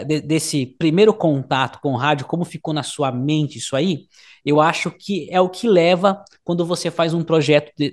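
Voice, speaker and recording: Slightly high-voiced Brazilian man hosting an interview. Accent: Brazilian Portuguese. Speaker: interview host. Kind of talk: casual conversational interviewing.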